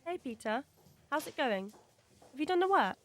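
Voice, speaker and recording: A young woman acting a caring, sympathetic teenage schoolgirl in a scripted audio drama, speaking gently and supportively. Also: sits high, sing-song, normal speed. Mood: friendly, concerned.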